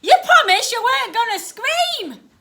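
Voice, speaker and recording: Woman putting on a high, cheeky Cockney ghost boy. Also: big pitch swings, slow pace, sharp tone, full character voice. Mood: annoyed. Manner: blunt, slangy, mocking. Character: cheeky, impatient Cockney ghost boy, smug and rough-spoken. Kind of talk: children's storybook read aloud.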